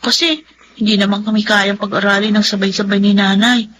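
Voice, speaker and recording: A woman's voice: mid-range voice, flat delivery, brisk, fairly bright tone, a little hiss or hum, natural voice. An actress playing a grateful, dutiful young Filipino college girl in a radio drama, respectful and eager. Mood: grateful, earnest. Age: young adult.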